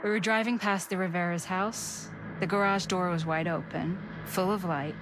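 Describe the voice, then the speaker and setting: Actress as a low-voiced, reflective middle-aged American woman. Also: steady tone, moderate pace, background noise, lightly acted. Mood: nostalgic, awed. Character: reflective middle-aged woman, frank, carrying old memories. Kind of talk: video game cutscene acting. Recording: music under the dialogue. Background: background music.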